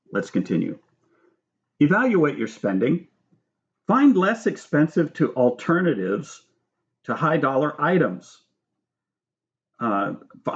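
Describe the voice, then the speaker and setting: Middle-aged American male author-narrator, instructive and measured, mid-range voice, slightly lo-fi sound. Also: mid-range voice. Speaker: author reading own book. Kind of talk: audiobook-style reading of nonfiction with spoken asides.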